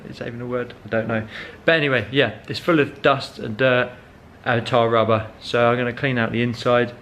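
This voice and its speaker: A man's voice: medium pitch, little pitch movement, a little fast, a bit bright, slight background noise. A young man chatting casually in a car vlog, rambling with many ums and correcting himself as he goes. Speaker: car vlogger.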